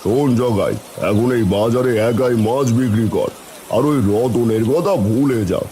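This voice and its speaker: A man's voice: mid-range voice, a little slow, dark tone, slight background noise, lightly acted. A man voicing a greedy, scheming village headman, the villain of a children's cartoon. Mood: smug scheming. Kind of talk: Bengali cartoon voice acting.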